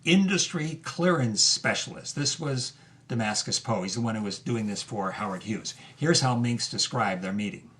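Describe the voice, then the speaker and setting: A male host tells a historical story in a conversational style. His voice is medium in pitch, and he explains things with deliberate, emphatic phrasing. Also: expressive, a bit bright. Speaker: host and narrator.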